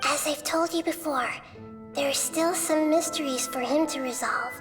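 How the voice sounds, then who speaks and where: A woman voicing a wise, gentle, childlike goddess in a game, speaking in a measured, patient, articulate way. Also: high-pitched, music in the background, lightly acted. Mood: calm, knowing. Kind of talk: animated game voice acting.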